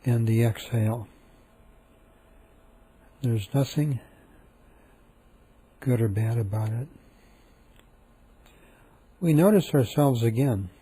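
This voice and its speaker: A man leading a guided meditation, speaking calmly and softly with long, repetitive pauses. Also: mid-range voice, breathy edge.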